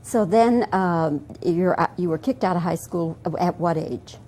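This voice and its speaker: A woman's voice: lowish voice, unhurried, mellow. A woman who works as a television interviewer, asking questions in a friendly, conversational way. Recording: faint background noise.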